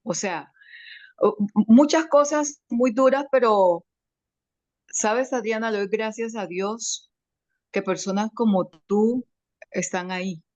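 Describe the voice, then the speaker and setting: A woman with a slight foreign accent in her Spanish, giving an emotional, grateful personal testimony on a video call, halting with many restarts. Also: medium pitch, a little mellow, dry recording. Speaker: webinar participant. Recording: dry, muffled video call audio.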